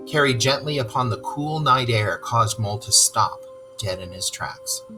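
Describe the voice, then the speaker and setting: A storyteller reading aloud to children in expressive narration, with explanatory asides. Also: medium pitch, low-quality recording.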